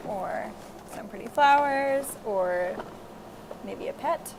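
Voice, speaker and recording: A woman's voice: fairly high pitch, animated, slow pace. A woman hosting a children's story time, chatting casually and giving encouraging craft instructions. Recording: clearly noisy amateur recording.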